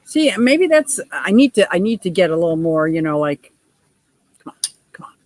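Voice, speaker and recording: A woman in her sixties with a deep voice, hosting a casual livestream, reading viewer comments and laughing often in a gushing, playful way. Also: sing-song, moderate pace, darkish tone, no echo. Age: 60s. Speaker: livestream host.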